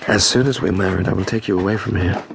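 An actor playing an ardent, imperious middle-aged English gentleman in love, in a period radio drama. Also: sits low, steady tone, fast, creaky, slight background noise. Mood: tender, masterful. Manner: impassioned, imperious, urgent. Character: ardent, commanding gentleman master in love with his governess.

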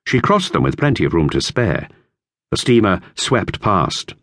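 A man narrating a classic children's novel in measured, expressive storytelling. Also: fairly low pitch, dry recording, clean studio sound. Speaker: audiobook narrator.

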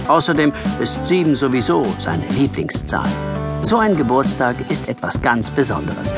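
A man narrating a scripted children's story with lively storytelling delivery. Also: medium pitch. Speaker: audiobook narrator.

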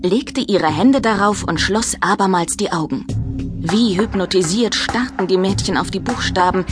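A woman's voice: mid-range voice, moderate pace. Woman narrating children's story over music. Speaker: audiobook narrator.

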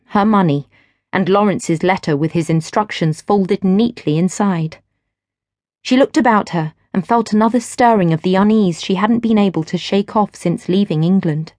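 A woman reading literary fiction as an audiobook narrator, in measured, expressive storytelling. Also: medium pitch, no room sound. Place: dry studio.

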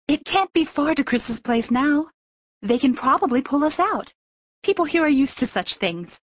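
A woman playing a worried, gently firm mother of two in a full-cast children's audiobook, earnest and coaxing. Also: sits high, expressive, moderate pace, no echo. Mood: uneasy. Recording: dull, narrow lo-fi audio.

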